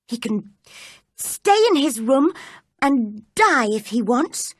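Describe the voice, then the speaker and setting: A woman voicing an angry, bossy, stubborn little girl in a storybook, with a cross, sharp manner. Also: fairly high pitch, big pitch swings, slow, slightly rough, no room sound, clean studio sound, pitched above the performer's own voice, full character voice. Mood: cross, scornful. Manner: cross, sharp, shouting outbursts.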